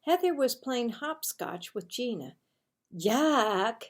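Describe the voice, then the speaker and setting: A woman reading a children's storybook aloud in a deliberate, expressive storytelling way. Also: mid-range voice.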